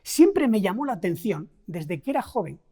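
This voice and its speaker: A woman's voice: mid-range voice, big pitch swings, moderate pace, mellow, slightly rough. A middle-aged woman from Spain with a Spain Spanish accent, preaching a sermon, asking the congregation rhetorical questions and stressing key words.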